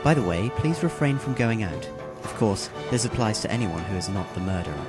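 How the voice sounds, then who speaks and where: A man playing a calm, courteous, secretive teenage boy who makes measured announcements in an anime-style audio drama. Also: on the low side, over loud music, close to an everyday voice. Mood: polite, formal.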